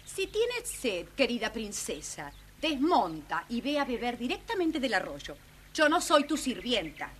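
A woman's voice: a little high, sing-song, unhurried, a bit bright. A woman playing an insolent, scheming young maidservant with haughty, bossy ways in a children's audio storybook. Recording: noisy vintage children's record.

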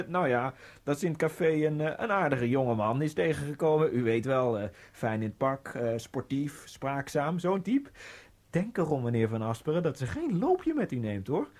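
A man's voice: a little high, a little mellow. An actor playing a nervous, fawning immigrant man who rambles in a radio play. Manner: rambling, obsequious, slides into bitter ranting. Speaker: radio drama lead. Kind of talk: radio play acting with inner monologue.

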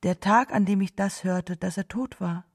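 An actress playing a gentle, grieving wife who remembers a lost love in a halting, subdued way in a radio drama. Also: female voice, medium pitch, fairly even intonation, slightly rough. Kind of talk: literary radio drama monologue.

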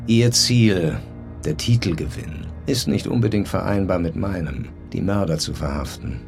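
A man playing a controlled, probing police commissioner in a radio drama, measured, formal and authoritative. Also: low-pitched, gravelly, over music. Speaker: lead actor in a crime radio drama.

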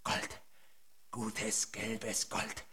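An actor in a German radio drama playing a sly, greedy, aging foreman, rough-spoken, taunting and insistent. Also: lowish voice, monotone, very slow, sharp tone, heavily acted. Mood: furtive, conspiratorial.

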